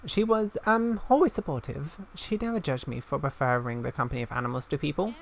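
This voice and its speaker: A man reading aloud the part of a gentle young druid girl in a fantasy game, earnest, grateful and a little hesitant. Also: on the high side, brisk, vocal fry, a little hiss or hum. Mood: fond, grateful.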